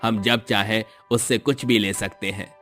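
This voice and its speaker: A poor village father played by a male storyteller speaks in a low voice, earnest and kind-hearted. He belongs to a dramatised moral tale, with music playing underneath. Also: steady tone, unhurried, a bit bright. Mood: pleased.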